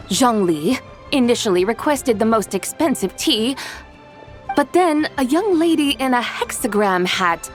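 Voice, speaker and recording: A woman voicing a haughty, eccentric immortal spirit in a video game, speaking in an imperious, formal manner. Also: fairly high pitch. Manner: imperious, formal, archaic phrasing. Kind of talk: video game cutscene dub acting.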